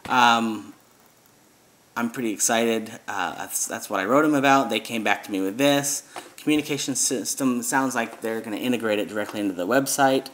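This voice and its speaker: A man's voice: on the low side, fairly bright tone, a little hiss or hum. An American man who grew up abroad, talking casually in a rambling way with many fillers and restarts, as host of his own video. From United States. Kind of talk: casual vlog talk.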